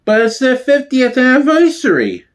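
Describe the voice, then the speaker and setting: Man playing a high-pitched nagging older mother. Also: wildly swooping pitch, moderate pace, heavily acted. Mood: fretting. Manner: nagging, exasperated.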